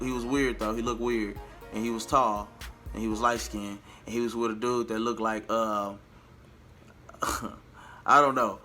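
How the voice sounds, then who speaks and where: An American man telling a story in a casual, slangy vlog style, chatty and animated, with drawn-out emphasis and repetition. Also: medium pitch. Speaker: vlogger and amateur singer.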